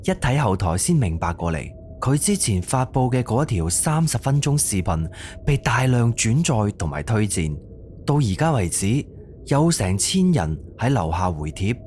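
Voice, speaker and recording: A man's voice: mid-range voice, sing-song, normal speed, a little mellow, slight background noise. A man with a Cantonese accent narrating a horror mystery audio drama in a dramatic storytelling style.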